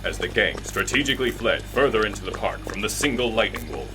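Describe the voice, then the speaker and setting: A man playing a grand, tongue-in-cheek storybook narrator in a radio drama, theatrical and deliberate. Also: on the low side, expressive, over music, natural voice. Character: grand male storybook narrator who talks back to characters.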